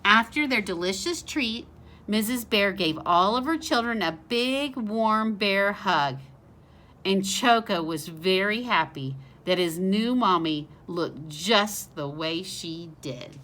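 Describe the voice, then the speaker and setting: A woman teacher reading a picture book aloud to young children in an expressive, simple, child-directed way. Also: mid-range voice, takes it slowly.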